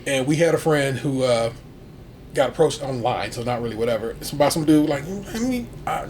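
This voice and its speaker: A man co-hosting a podcast, explaining in a halting, stop-start way. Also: mid-range voice, animated, darkish tone, slight background noise. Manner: halting, restarts often. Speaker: podcast host. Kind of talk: casual chat.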